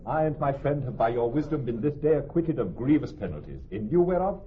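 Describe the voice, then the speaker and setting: Man acting an earnest gentleman, highly animated. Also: fairly quick, lightly acted. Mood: grateful, courteous. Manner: formal, emphatic verse delivery. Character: earnest, courteous young Venetian gentleman. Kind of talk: classical Shakespearean radio drama acting. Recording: tinny narrow-band radio recording, faint music.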